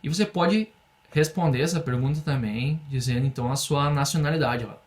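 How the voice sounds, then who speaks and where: A young man from Brazil teaching an English lesson in Portuguese, explaining patiently and having students repeat phrases slowly. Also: a little high. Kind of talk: online language lesson.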